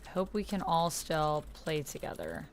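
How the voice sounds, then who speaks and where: A woman's voice: low voice, big pitch swings, normal speed, slight background noise. A woman hosting a livestream in an effusive, chatty way, casually talking with her chat as she plays a roleplay game. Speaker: livestream host playing a text roleplay game. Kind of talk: casual livestream chat, reading chat and scenes aloud.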